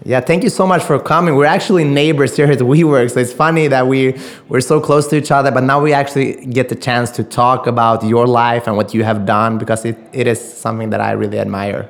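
Man, mid-range pitch, interview host, conversational delivery. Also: fairly even intonation, a little fast, hardly any pauses.

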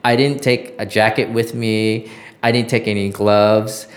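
A man's voice: lowish voice, steady tone, measured pace. A middle-aged American man telling stories as an interview guest, reflective and often searching for words. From Southern California. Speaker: interview guest, former journalist. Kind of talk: conversational interview storytelling.

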